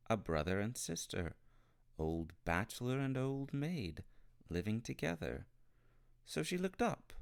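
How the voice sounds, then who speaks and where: A man narrates a storybook in a low voice with a creaky edge. His delivery is slow and deliberate, with pauses between phrases and plenty of melodic movement. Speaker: audiobook reader.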